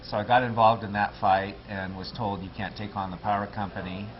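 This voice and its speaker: Low-voiced man in a lo-fi interview. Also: flat delivery, fairly quick, a little hiss or hum. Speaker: political candidate. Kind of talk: local TV interview guest.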